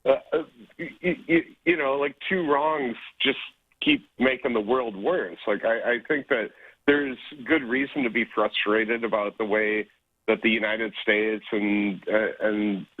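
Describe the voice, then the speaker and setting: A man's voice: on the low side, no echo. A man calling a radio show, speaking hesitantly with many stammers and fillers. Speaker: caller. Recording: tinny phone line.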